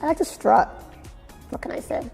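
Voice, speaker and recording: A woman from Nigeria with a Nigerian accent mixed with British and American, giving expressive, emphatic answers in a casual interview. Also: normal speed. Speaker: fashion blogger being interviewed. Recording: very echoey room with music. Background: music.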